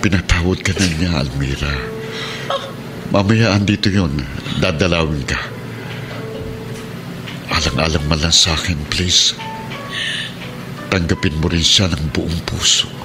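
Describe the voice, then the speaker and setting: A man acting a middle-aged father in a Tagalog radio drama, earnest and gently pleading. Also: deep voice, a little slow, pauses often. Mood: gentle pleading. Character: remorseful, earnest father, police officer near retirement. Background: dramatic music.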